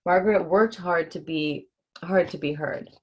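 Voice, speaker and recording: Low-pitched woman on a children's livestream. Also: a little slow, slightly rough, dull audio. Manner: informal, explains hard words simply for children. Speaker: host reading a children's book on a livestream. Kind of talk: casual livestream chat and children's book read-aloud.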